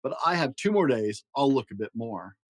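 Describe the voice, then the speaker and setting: A man's voice: mid-range voice, animated, moderate pace, no echo, natural voice. Strong young fairy-tale prince, played by a male reader, confident and musing, dry close sound. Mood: musing, impressed.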